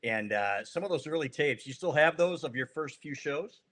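A man's voice: a little high, animated, normal speed, a bit bright. An American man hosting a casual sports interview in a conversational style. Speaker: interview host, sports journalist.

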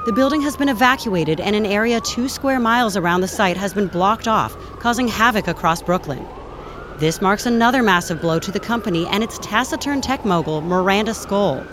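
An actress plays a crisp female field reporter in an audio drama. She delivers live news in a measured, formal way at medium pitch, with clear street noise and sirens behind her. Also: animated, close to an everyday voice. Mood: composed, formal.

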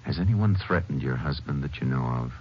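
An actor playing a determined, plain-spoken Texas Ranger in 1950s radio drama style, terse, grave and courteous. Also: male voice, rumbling low, lively intonation, fairly quick. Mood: grave, gentle. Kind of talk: 1950s radio western acting and narration. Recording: muffled, noisy 1950s radio recording.

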